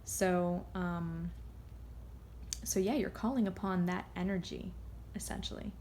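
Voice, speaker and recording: A woman giving a casual, unscripted card reading, rambling and halting as she drifts off on tangents. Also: fairly low pitch, steady tone, darkish tone, a little hiss or hum. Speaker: tarot card reader.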